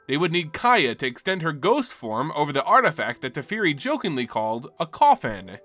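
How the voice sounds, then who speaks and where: A bright-voiced man narrates fantasy lore from a script as the host of the show. His pitch sits slightly high and moves around with lots of animation. The reading is dramatic storytelling, with music underneath. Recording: music bed behind the voice. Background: background music.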